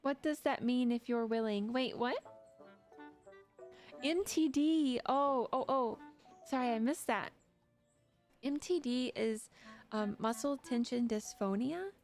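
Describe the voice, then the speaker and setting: A woman's voice: a little high, takes it slowly, long pauses, a little mellow, faint music behind. Giggly young woman chatting on stream. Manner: giggly, chatty, talks to chat. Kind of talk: casual livestream chat.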